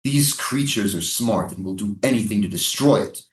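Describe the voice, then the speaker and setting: An actor in a live staged reading playing a menacing, insinuating American military commander at a prison camp. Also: male voice, lowish voice, expressive, moderate pace, echoey room, lightly acted. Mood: condescending.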